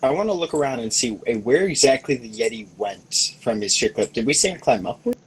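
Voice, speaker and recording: A husky-voiced man chatting casually as a tabletop game player, tentative and thinking aloud. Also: medium pitch, lively intonation, brisk, low-quality recording.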